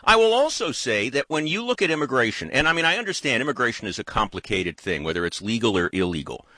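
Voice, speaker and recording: A man from the United States talks through a casual political chat in long, winding runs, thinking out loud as he goes. His pitch swings a lot and his tone is bright and forward. The sound is dry and close to the mic. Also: sing-song, fast talker, dry recording.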